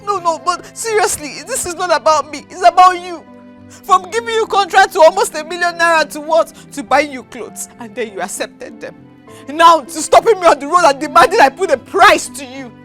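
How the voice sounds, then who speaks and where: A woman's voice: very high voice, lively intonation, moderate pace, a bit bright, faint music behind. A Nigerian woman acting a hurt, insecure girlfriend, tearful and plaintive, in a screen drama. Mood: wounded, accusing. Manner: plaintive, insistent.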